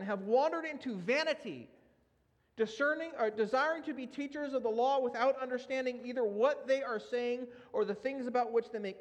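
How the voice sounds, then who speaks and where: A man's voice: very high voice, muffled recording. A man preaching a sermon, earnest and emphatic as he works through scripture readings. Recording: long reverb of a large hall.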